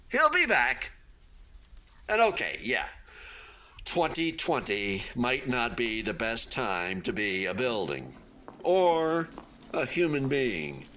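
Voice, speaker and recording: An actor in a radio play voicing an unfinished hotel building, a wistful but stubbornly hopeful man who talks in a halting, conversational way. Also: a little high, pushed and bright, exaggerated voice. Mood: reflective. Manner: halting, conversational, with sighs and emphatic swings.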